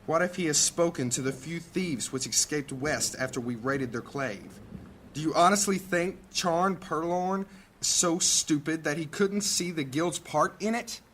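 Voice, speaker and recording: Sharp, impatient mage. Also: male voice, a little high, a little slow, a little hiss or hum. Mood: agitated, urgent. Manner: forceful, impatient. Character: blunt, hot-tempered mage councillor guarding his guild. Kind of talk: fantasy audio drama acting.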